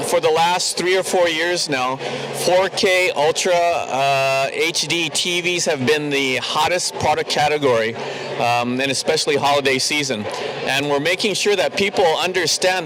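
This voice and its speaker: Slightly high-voiced man pitching over event noise. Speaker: industry association representative. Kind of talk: promotional product pitch. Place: press event show floor. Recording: noisy event floor background.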